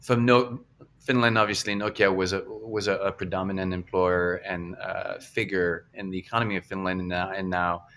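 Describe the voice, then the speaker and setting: A man's voice: deep voice, level tone, normal speed, a bit bright. A man hosting a casual podcast interview, asking long, rambling questions with restarts. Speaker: podcast host.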